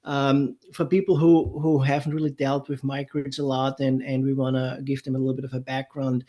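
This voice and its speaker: A man's voice: mid-range voice, completely flat delivery, fairly quick, warm tone. A man from Europe with a German accent, giving an unscripted technical lecture in a conversational way, with many fillers and restarts. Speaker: webinar presenter. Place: San Diego, California.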